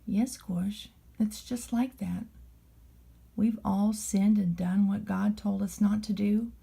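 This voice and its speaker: A woman reads a children's story in a mellow, relaxed voice. Her telling is gentle and deliberate, like a nanny reading aloud to a little one. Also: mid-range voice, vocal fry, husky.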